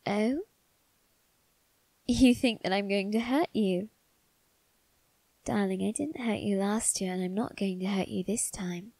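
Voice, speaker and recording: Suave male vampire acted by a woman, ASMR roleplay, soft breathy half-whisper, close dry sound, coaxing, quietly menacing. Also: medium pitch, a little slow, pauses often, dry recording. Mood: soothing, sinister.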